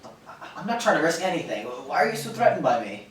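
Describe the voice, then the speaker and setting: An actor playing an awkward young newcomer, halting and apologetic, in a stage play. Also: male voice, fairly high pitch, very animated. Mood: defensive. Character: awkward, starstruck young male show newcomer. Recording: distant, echoey stage recording, faint noise.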